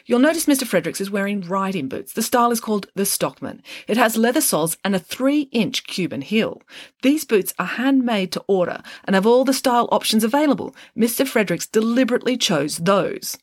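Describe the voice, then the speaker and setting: A narrator voicing a sharp, precise young girl detective who lays out her deductions calmly, in an audiobook reading. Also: mid-range voice, brisk, dry recording, clean studio sound, pitched above the performer's own voice, close to an everyday voice. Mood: explaining. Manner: calm, matter-of-fact deductions.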